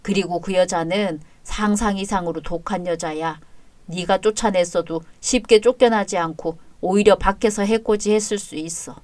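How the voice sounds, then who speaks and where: A woman voicing a calm, kindly older father-in-law who speaks low and gently to comfort. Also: moderate pace, no room sound, lightly acted. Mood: gentle.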